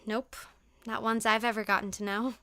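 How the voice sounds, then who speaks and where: Earnest, clear-voiced teenage girl. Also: on the high side, flat delivery, moderate pace, pushed and bright, dry recording, studio quality. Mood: subdued, reflective. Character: earnest, reserved teenage high school girl raised on the mainland. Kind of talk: naturalistic audio drama acting.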